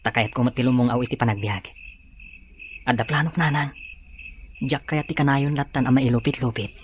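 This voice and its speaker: A man's voice: medium pitch, brisk, a little hiss or hum, lightly acted. A man acting an earnest, respectful young son longing for a better life in a radio drama, with an Ilocano Filipino accent. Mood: earnest, pleading.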